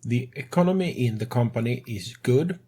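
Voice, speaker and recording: A man speaking English as a second language, narrating a game tutorial as host in a measured way with non-native grammar. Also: medium pitch, expressive, warm tone, breathy edge. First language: not English.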